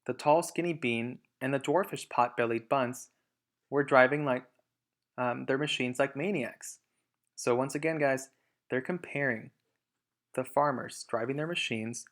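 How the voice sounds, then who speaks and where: A man reading a storybook aloud as a teacher, emphatic in narration and explanatory in asides. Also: medium pitch.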